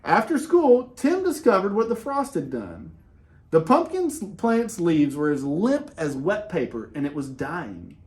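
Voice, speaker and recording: A man reading a children's picture book aloud in an expressive way, with dramatic pauses and casual, conversational delivery. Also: fairly high pitch. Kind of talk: animated read-aloud with casual asides.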